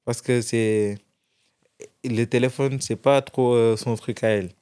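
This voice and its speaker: A man's voice: mid-range voice, fairly even intonation, measured pace, a little mellow, smooth. A young man from France, a guest in an interview, telling his story casually with many restarts. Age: young adult.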